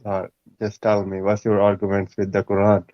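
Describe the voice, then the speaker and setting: A man's voice: on the low side, completely flat delivery, normal speed, muffled recording. A man from Pakistan calling into a debate livestream, pushy and insistent, calling the host brother. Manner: insistent, calls everyone brother. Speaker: caller. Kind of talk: debate call-in.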